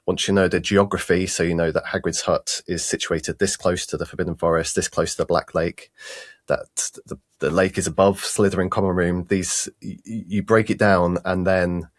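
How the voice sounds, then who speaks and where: A man answering interview questions as a sound designer, halting and repeating the starts of phrases. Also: low voice, little pitch movement, no room sound, clean studio sound.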